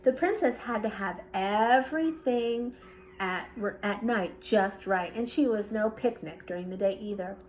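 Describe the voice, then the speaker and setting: A woman reading a children's bedtime story aloud with expressive narration. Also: mid-range voice, unhurried, low-quality recording. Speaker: storybook reader.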